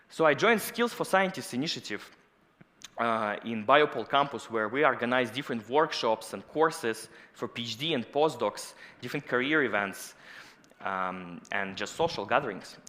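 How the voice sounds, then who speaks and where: A man in his thirties, a native Russian speaker, giving a lively conference talk on stage with personal stories, through a headset mic. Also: mid-range voice. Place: large auditorium stage. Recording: long hall reverb.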